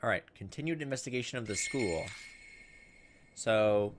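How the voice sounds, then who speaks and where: A man's voice: medium pitch, moderate pace, noisy background. A man doing gameplay commentary, casually thinking aloud and reading visual novel text as he goes. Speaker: video game playthrough host.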